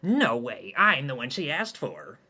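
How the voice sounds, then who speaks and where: A man voicing an insecure, campy male office worker with a high soprano voice, gleeful and whiny. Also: huge pitch swings, measured pace, bright, forceful tone, breathy edge, heavily acted. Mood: bickering.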